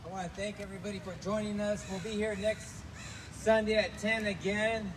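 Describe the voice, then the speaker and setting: A male pastor making brisk, friendly closing announcements at a church service, heard from away from the microphone. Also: sits high, little pitch movement, background noise. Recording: distant voice, away from the mic.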